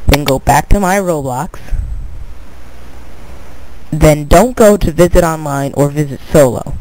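A young man with a fairly high voice and a stuffed-up, congested nose explains things in a loose, rambling way. He keeps circling back with filler and restarts his words as he goes. There is a faint hiss under his computer mic, with mouse clicks around him. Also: unhurried, darkish tone, poor audio. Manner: rambling, repeats "but anyway", restarts words. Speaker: tutorial video maker. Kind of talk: casual screen-recorded tutorial.

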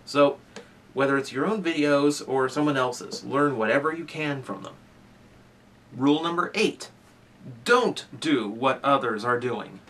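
A man's voice: mid-range voice, lively intonation, measured pace, a bit bright, distant mic. A young man giving earnest advice straight to camera, talking conversationally with fillers and restarts while gesturing with both hands. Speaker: toy reviewer. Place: small room with shelves of toys, indoors.

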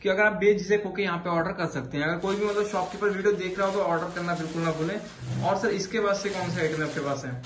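A high-voiced man hosts a sales video at a fast clip. He repeats himself like a salesman pitching stock. The room is echoey with faint noise behind him. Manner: repetitive salesman patter. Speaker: host interviewing a manufacturer. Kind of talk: casual promotional product video. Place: indoors in a garment factory and bare room.

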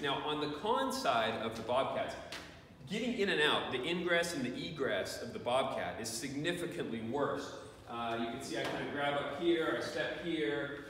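A man's voice: medium pitch, a bit bright, a little hiss or hum. Man talking in an echoey farm shed. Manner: rambling, plainspoken, many fillers and restarts. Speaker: beekeeper reviewing equipment. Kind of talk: casual equipment review. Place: large open farm shed. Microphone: camera at a distance. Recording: echoey shed, voice far from camera.

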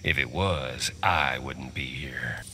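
An actor playing a cocky gunslinger mercenary with a Southern American accent and a wry drawl. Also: male voice, a bass voice, slow pace, sharp tone, heavily acted. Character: cocky gunslinger mercenary cowboy, amused and dangerous. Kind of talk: fighting game character dialogue. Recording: clear game background noise.

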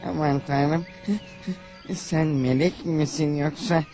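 Fretful, foppish cartoon coyote. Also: male voice, a little high, fairly even intonation, slow, mellow, soft background music. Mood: dazed relief. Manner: dramatic, fretful. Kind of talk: cartoon dub acting.

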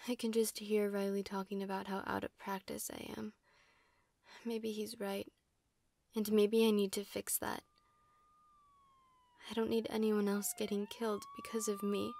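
A woman's voice: medium pitch, little pitch movement, a little slow, gravelly, pauses often, darkish tone, dry recording. A woman playing a plucky, wisecracking young superhero in an audio drama, defiant in manner. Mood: shaken, resolute.

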